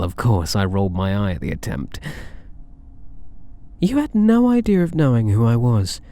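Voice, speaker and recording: A man's voice: fairly low pitch, warm tone. A British man playing a possessive, flirtatious alien catboy thief in an intimate ASMR roleplay, teasing and doting. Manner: teasing, doting, purring endearments, unhurried.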